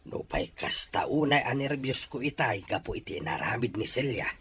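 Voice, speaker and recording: A man playing an anxious older father, gentle and resigned, in a radio drama. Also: medium pitch, sing-song, moderate pace, slight background noise. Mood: shaken.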